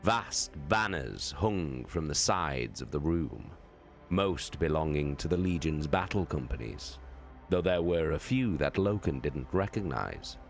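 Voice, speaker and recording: A man with a very deep voice reads a novel aloud in a slow, drawn-out way. Faint music plays under his dramatic narration during a live stream. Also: big pitch swings, vocal fry. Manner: slow, deliberate, drawn-out phrasing. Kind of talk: dramatic audiobook reading. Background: faint background music.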